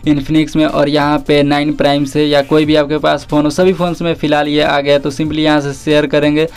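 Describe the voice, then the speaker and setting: A man's voice: fairly high pitch, completely flat delivery, brisk, smooth. A young man giving a casual tech tutorial voice-over, addressing viewers familiarly and mixing in English words. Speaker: tech tips video presenter. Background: background music.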